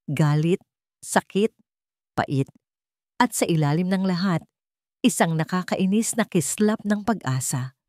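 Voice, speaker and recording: Low-pitched woman narrating a dramatic love story. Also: measured pace, pauses often, dark tone, no room sound, clean studio sound.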